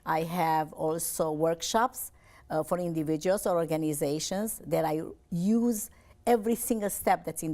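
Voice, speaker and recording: A woman's voice: low voice, darkish tone. A woman from Romania, a life coach giving an earnest, upbeat television interview and often repeating her phrases.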